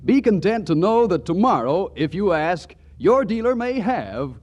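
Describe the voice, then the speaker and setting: A man's voice: high voice, sing-song, moderate pace, darkish tone, highs cut off. A man announcing for a 1940s radio show in a genial, persuasive manner.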